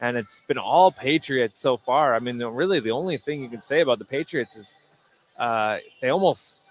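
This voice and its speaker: Halting male basketball color commentator. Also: mid-range voice, low-quality recording. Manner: halting, repeats words while forming points. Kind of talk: live conversational sports analysis. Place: indoor basketball gym.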